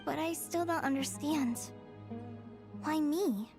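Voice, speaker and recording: A woman's voice: high-pitched, slow pace, music in the background. An actress voicing an earnest, thoughtful young girl in a video game. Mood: hesitant, puzzled.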